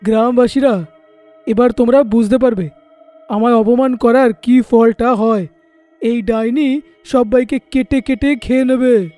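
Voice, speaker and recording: পুরুষ অভিনেতার চড়া গলার প্রতিহিংসাপরায়ণ গ্রাম্য মাতাল. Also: male voice, steady tone, a little slow, pauses often, no room sound, soft background music. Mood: vindictive. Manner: loud, high-strung declaiming. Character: aggrieved, vindictive male village drunkard.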